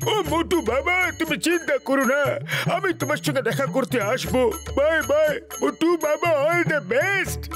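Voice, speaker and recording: A man's voice: very high-pitched, moderate pace, hardly any pauses. A man voicing a cheerful, oblivious cartoon friend who exclaims effusively. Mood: jolly, congratulating. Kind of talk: Bengali cartoon dubbing. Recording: loud music behind the voice.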